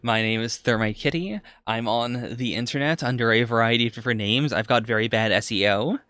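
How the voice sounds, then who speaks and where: A man's voice: medium pitch, brisk, sharp tone, no echo. A man chatting casually as a podcast co-host, reacting to anime as he watches.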